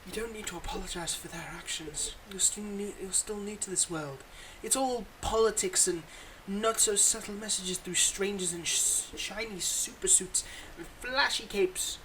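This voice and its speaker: A man's voice: high-pitched, normal speed, hardly any pauses, bright, forceful tone, slight background noise, rough recording. A man playing a weary, wry supervillain with a secretly kind side, talking conversationally and a little hesitantly in an intimate roleplay audio monologue. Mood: wry, earnest.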